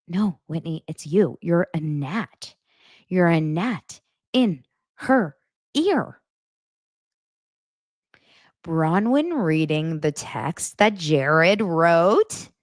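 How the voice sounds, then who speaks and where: A woman's voice: medium pitch, dry recording, clean studio sound. A woman hosting a daily pop culture show, chatting to her audience in a gossipy, exaggerated way. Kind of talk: casual chat to camera.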